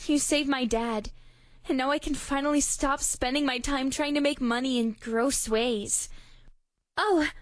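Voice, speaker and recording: High-pitched shy schoolgirl, a woman doing the voice, soft and polite, dry close sound. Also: dry recording. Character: sweet, anxious teenage schoolgirl. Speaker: voice actress for a game character. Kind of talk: game voice lines.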